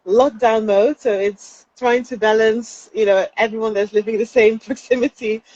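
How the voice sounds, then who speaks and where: A woman's voice: a little high, steady tone, normal speed, darkish tone, no room sound, muffled recording. A young woman from the Netherlands, a trainee counselling psychologist, speaking thoughtfully as an interview guest from outdoors. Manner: thoughtful, with light laughs and hesitations. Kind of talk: casual interview chat. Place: outdoors during lockdown.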